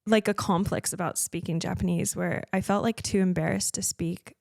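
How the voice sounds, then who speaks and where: A young woman from the States talks casually about racing, her voice a little low with a gravelly edge. She hesitates often and fills her pauses with "um" and "like", and the sound is dry and close. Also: fairly even intonation, darkish tone, no echo. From United States. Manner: hesitant, many fillers, searching for words. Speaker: racing driver, guest. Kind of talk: casual interview chat.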